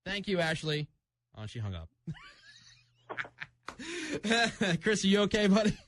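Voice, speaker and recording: A man's voice: high-pitched, huge pitch swings, slow pace, background noise. A man hosting a call-in radio show, talking in an informal, probing, teasing way. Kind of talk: casual live call-in radio banter.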